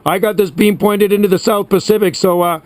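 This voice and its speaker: A man's voice: sits high, steady tone, fairly quick, a little mellow, no echo. A man chatting casually and enthusiastically as a CB radio operator, encouraging the other operator. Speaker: CB radio operator, video host. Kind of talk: casual chat over CB radio.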